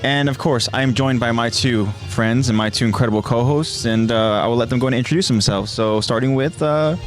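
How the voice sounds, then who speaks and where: Male podcast host, mid-pitched, effusive and rambling, faint music underneath. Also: a little fast. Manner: effusive, rambling with many fillers. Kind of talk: casual live podcast chat.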